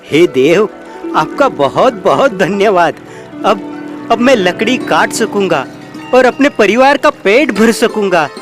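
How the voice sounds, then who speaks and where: A man's voice: high-pitched, lively intonation, slow pace. A man playing a humble, honest woodcutter in a dramatized folktale, speaking in an earnest, emotional, pleading way. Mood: grateful joy. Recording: faint music behind the voice.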